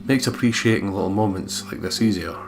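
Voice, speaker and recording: A man's voice: on the low side, a little fast. A man hosting a let's play, reading game narration aloud with casual commentary. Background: guitar music from game.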